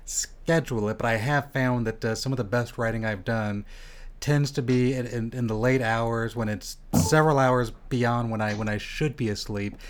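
Relaxed American podcast host. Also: male voice, medium pitch, moderate pace. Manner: loud theatrical intro, then relaxed and rambling. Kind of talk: casual interview chat. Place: Las Vegas home studio.

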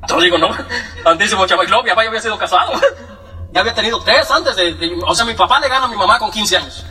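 A young man with a Mexican accent, from Michoacán, telling his life story to an audience in a joking, animated way. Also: high voice, quick pace, recorded from a distance. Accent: Mexican Spanish. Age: young adult. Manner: joking, animated, acts out quoted voices. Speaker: speaker giving a testimony.

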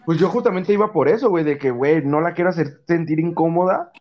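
A young man with a Mexican accent and a fairly high voice talks casually among friends. He is slangy, playful and sweary, and the recording sounds a little muffled. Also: quick pace. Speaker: podcast co-host.

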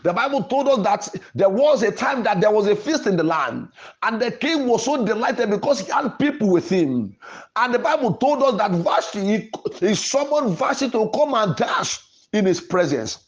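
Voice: medium pitch, lively intonation, normal speed, muffled recording. A preacher delivering a sermon in an emphatic, declarative way, repeating phrases for emphasis. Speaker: preacher and podcast host.

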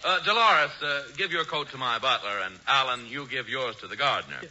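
A man's voice: medium pitch, lively intonation, a little fast, bright, forceful tone. A man playing a prissy, haughty young rich schemer in a 1940s radio comedy. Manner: prissy, haughty, hesitant uh-filled phrasing. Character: Hubert, snooty, fussy rich young schemer. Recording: muffled, noisy 1940s radio broadcast.

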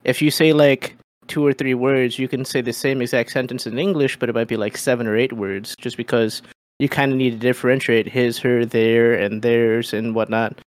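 A man's voice: mid-range voice, fairly even intonation, normal speed, dry recording. A man co-hosting a podcast, rambling loosely with lots of fillers in casual chat. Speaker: podcast co-host.

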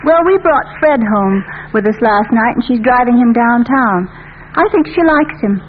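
A woman's voice: fairly high pitch, lively intonation, moderate pace, lightly acted. Patient, composed mother, female actor, dry wit, vintage radio drama, phone-like old broadcast. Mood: gently brisk. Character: patient, wryly composed wife and mother of grown daughter. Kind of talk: 1940s radio drama acting. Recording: tinny old radio broadcast, faint hiss.

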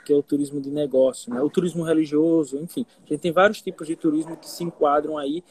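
A man speaking as an economist guest on a live stream, who lays out his points step by step and keeps checking in with his listeners. His voice sounds somewhat husky and sits a bit high. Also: steady tone, quick pace, darkish tone. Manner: explanatory, with frequent "né?" checks. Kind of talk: casual live interview.

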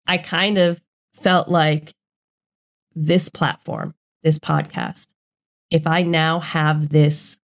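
Woman, podcast co-host, casual chat, earnest, emphatic, blunt, lowish voice.

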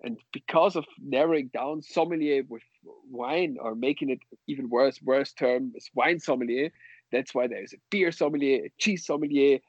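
A man with an Austrian accent and German as his native language talks casually as an interview guest. His phrasing is halting, with many pauses, and his mid-pitched voice comes through a dry, dull lo-fi connection. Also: no room sound. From western Austria. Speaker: guest, sommelier and wine bar co-owner.

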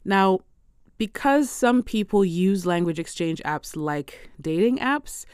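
A woman hosting her own podcast, talking close to the mic in a dry, deadened room. Her voice is smooth and clear and sits a little low. She chats easily, laughs often and drops Portuguese phrases into her talk. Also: no room sound. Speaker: podcast host. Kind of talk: solo language-learning podcast.

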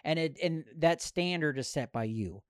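A man's voice: fairly high pitch, expressive, moderate pace, clear, resonant tone, no echo, studio quality. A man in his thirties chatting casually on a podcast, earnest and rambling, repeating phrases and swearing freely. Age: 30s.

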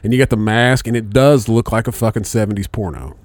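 A man's voice: on the low side, fairly quick, dry recording, studio quality. A man in his thirties hosting a podcast, swearing freely, laughing often and stammering through restarts. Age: 30s. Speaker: podcast host. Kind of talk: crude casual banter.

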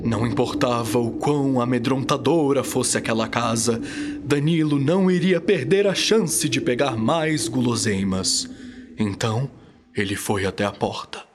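A man's voice: mid-range voice, measured pace, noisy background. A young Brazilian man narrating a scripted children's radio story in a dramatic storytelling style. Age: young adult. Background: music.

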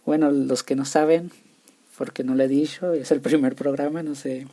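A man's voice: mid-range voice, fairly even intonation, normal speed, mellow. A young man from Chihuahua, Mexico, with a northern Mexican accent, hosting a casual solo show and often stumbling over his words and restarting. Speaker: podcast host.

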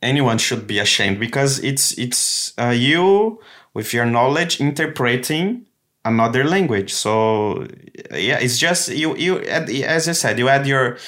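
A man's voice: medium pitch, lively intonation, unhurried, a bit bright. A man with a Brazilian accent, a native Portuguese speaker, talking casually and reassuringly as a podcast guest in English as his second language. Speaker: podcast guest, comedian and actor. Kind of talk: casual conversation.

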